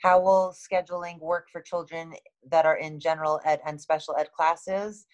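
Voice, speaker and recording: A woman in her thirties with a halting, matter-of-fact manner, reading and answering questions as a school administrator on a video call. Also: low-pitched, level tone. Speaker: school administrator hosting a town hall. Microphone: video call, computer microphone.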